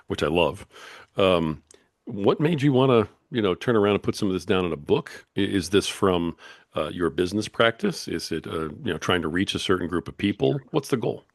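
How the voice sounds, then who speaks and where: A man's voice: deep voice, animated, normal speed, vocal fry, no echo, clean studio sound. A man in his fifties hosting a podcast interview, friendly and affirming, using many fillers as he asks questions. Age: 50s. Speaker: podcast host. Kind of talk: casual interview.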